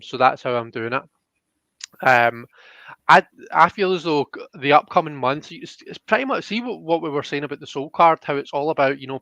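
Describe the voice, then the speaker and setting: A man's voice: medium pitch, a bit bright, no room sound, dull audio. A man hosting a live tarot reading in a casual, conversational way, checking in with his listeners as he explains.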